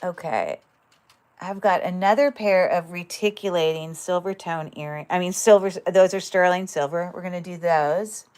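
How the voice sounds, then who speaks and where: Woman, livestream seller, chatty, filler-heavy, lower voice, crisp clear sound. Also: fairly even intonation, moderate pace. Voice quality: describes own voice as loud. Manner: chatty, many fillers, thinks aloud while handling items. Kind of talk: casual livestream sales chat.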